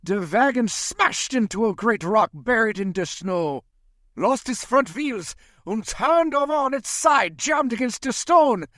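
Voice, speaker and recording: An urgent older professor who hunts vampires played by a man in a period radio play. His high voice swings widely and dramatically as he gives emphatic orders. The sound is dry, with faint music behind. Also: lively intonation, unhurried, sharp tone, dry recording, heavily acted. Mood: urgent, tense. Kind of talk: 1930s-style radio drama acting.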